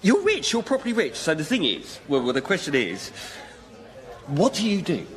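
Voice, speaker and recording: An actor playing a baffled, nagging ordinary bloke in a radio comedy sketch, matey, halting and repetitive. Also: male voice, a little high, huge pitch swings, a bit bright. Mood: baffled, insistent. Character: baffled, nagging ordinary bloke questioning his rich best mate.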